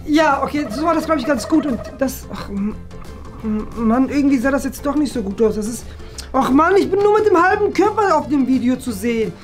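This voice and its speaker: A man in his twenties performing a playful comedy skit with an exaggerated, expressive delivery. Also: extremely high pitch, a little fast, faint music behind. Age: 20s. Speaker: skit performer in a shared-flat video series. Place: small indoor rooms of a flat.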